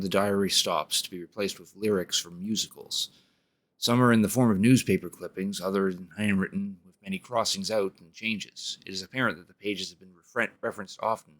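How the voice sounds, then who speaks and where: Deep-voiced man reading game text. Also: fairly bright tone. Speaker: streamer. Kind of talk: reading game text aloud and commenting on play.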